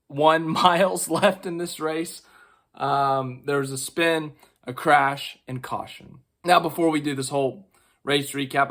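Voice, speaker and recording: A man with strep throat giving a casual, chatty race recap, excitable and full of fillers. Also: fairly high pitch. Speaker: racing vlogger.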